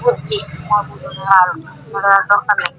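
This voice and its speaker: Sharp, quick-talking Bengali woman on a call. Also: medium pitch, big pitch swings. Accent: eastern Bengali dialect. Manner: complaining, dismissive, repeats phrases. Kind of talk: casual domestic argument on a call. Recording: phone call recording with faint noise.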